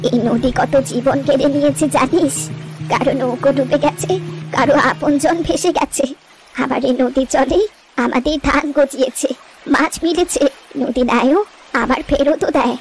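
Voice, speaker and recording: A woman playing a wistful, gentle old village grandmother who tells river lore with poetic, reflective pauses in a cartoon story. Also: high voice, a little hiss or hum, low-quality recording. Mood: tender, reflective. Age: older.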